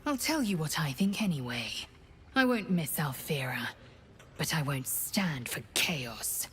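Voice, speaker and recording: A woman's voice: low voice, big pitch swings, measured pace, fairly bright tone, slight background noise, full character voice. A woman playing a stern, imperious female warrior in a fantasy video game, blunt and commanding. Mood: stern, scornful.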